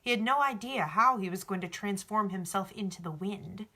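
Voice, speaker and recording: A woman's voice: medium pitch, normal speed, pushed and bright. A woman reading a novel aloud as storybook narration, in an informal way. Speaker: audiobook reader.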